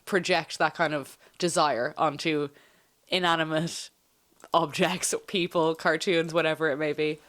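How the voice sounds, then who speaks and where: A woman's voice: low voice, steady tone, measured pace, a bit bright. A woman co-hosting a casual podcast chat, chatty and agreeable, backing the speaker with "yeah" and "mm-hmm".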